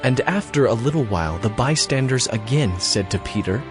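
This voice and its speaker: A man with a medium-pitched voice narrates scripture from a script. Music plays clearly behind him. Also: lively intonation, moderate pace.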